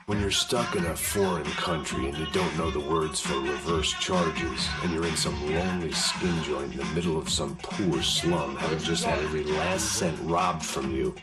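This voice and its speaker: A bitter, hard-boiled American ex-bodyguard with a very low voice. He delivers noir game narration in a deadpan, sardonic way, sounding middle-aged and sick of himself. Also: normal speed, hardly any pauses, loud music behind the voice. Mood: bitter, resigned.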